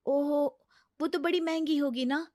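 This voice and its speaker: A woman voicing a curious, chatty young female office friend, lively and informal, in a story. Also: high-pitched, measured pace, dry recording, studio quality, voice pushed up. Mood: chatty, opinionated.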